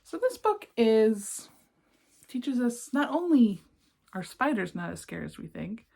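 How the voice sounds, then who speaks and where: Female children's read-aloud presenter, slightly husky, gentle and encouraging, talks straight to the young listener. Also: fairly high pitch, sing-song, a little slow. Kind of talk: expressive storybook reading with asides to young listeners.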